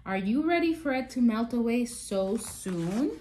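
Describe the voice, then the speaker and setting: Woman voicing a calm, wise mother owl. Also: a little high, a little slow. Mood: gentle.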